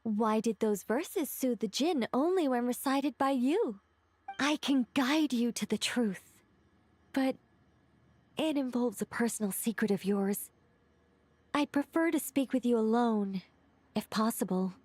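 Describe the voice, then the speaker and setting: Composed, knowing woman, high-pitched, dry sound. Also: a little slow, a little mellow, no room sound. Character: calm, knowing, mysterious woman who reveals secrets. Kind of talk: video game voice acting, measured explanation.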